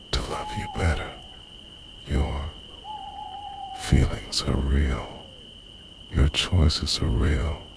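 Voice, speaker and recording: A man playing a devoted, obsessive time-travelling boyfriend in an intimate ASMR roleplay, speaking in a breathy, often whispered, gravelly voice with hushed, earnest intensity. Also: a bass voice, heavily acted. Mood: earnest, pleading. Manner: slow, earnest, hushed delivery. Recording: noisy night ambience with crickets, owls.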